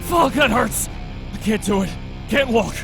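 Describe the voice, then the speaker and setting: A high-pitched young man played by a male actor, wounded and in pain. He is urgent and selfless, speaking as a visual novel hero over clear background music. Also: a little slow, heavily acted.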